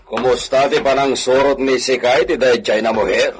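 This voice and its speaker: A man's voice: medium pitch, fairly even intonation, moderate pace, a little hiss or hum, lightly acted. A man with an Ilocano accent acting an authoritative, stern villa master and father in a radio drama. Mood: curt, commanding. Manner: authoritative, sprinkles in Spanish phrases.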